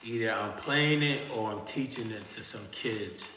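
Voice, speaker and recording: A man's voice: fairly low pitch, moderate pace, pushed and bright, slight background noise. A man from Miami with a Miami accent, chatting casually and profanely to his livestream viewers from an indoor room. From Miami, Florida. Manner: loose, slangy and profane, talking straight to chat. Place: indoor room, seated in a creaky chair. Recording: roomy echoey room with long reverb.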